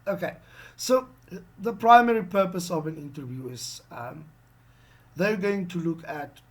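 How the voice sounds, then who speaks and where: A middle-aged man from South Africa with an Afrikaans accent, giving a casual lesson on job interviews, animated and checking in often with "okay?". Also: high-pitched, unhurried. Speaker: online English teacher.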